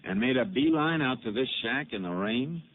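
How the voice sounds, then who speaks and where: An actor playing a calm, methodical male Texas Ranger detective in a 1950s radio drama, asking measured questions. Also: mid-range voice, natural voice. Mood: steady, probing. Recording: tinny lo-fi old radio broadcast with faint hiss.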